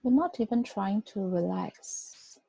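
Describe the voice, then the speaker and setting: A woman guiding a body scan meditation, giving gentle, unhurried instructions with long pauses. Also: medium pitch, animated, gravelly, husky, dry recording. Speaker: meditation guide. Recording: dull, muffled lo-fi recording.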